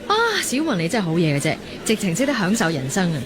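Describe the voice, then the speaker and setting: Lively young student over crowd chatter. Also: female voice, lowish voice, fast. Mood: playfully defensive. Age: young adult. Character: lively young female final-year university student, playful and wistful. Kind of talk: Cantonese radio drama acting.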